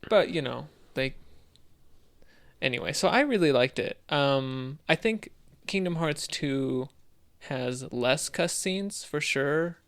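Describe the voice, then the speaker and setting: Male co-host, clean smooth voice, casual and slightly hesitant, conversational game discussion. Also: on the high side, lively intonation, takes it slowly, a bit bright. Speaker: podcast co-host.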